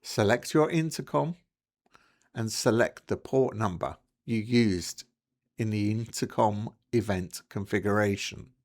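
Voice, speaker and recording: A man presenting a technical support tutorial, reading scripted narration in a clear, instructional way, one step at a time. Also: fairly low pitch, measured pace, a little mellow.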